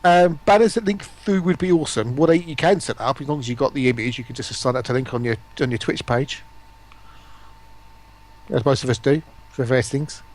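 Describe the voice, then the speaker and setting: A man's voice: medium pitch, fairly quick. A man hosting a live stream, chatting casually and putting questions to his guests. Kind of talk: casual chat, asking questions.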